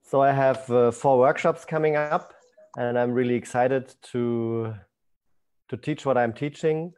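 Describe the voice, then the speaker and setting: A man's voice: medium pitch, fairly even intonation, unhurried, darkish tone, clean tone. A man informally presenting workshops as their teacher.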